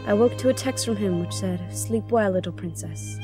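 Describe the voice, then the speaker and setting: A woman's voice: mid-range voice, moderate pace, lightly acted. Woman acting a sorrowful young princess over music. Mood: melancholy, haunted. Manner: earnest, melancholy. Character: anxious, sorrowful young princess telling her story. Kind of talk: audio drama acting and first-person narration.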